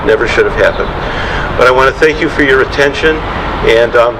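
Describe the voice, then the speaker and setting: A man delivers a public statement at a press event in a mid-pitched voice. He is picked up from a distance, with clear rumbling noise around him. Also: a little fast. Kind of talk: public address, recounting events. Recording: distant mic, loud room rumble.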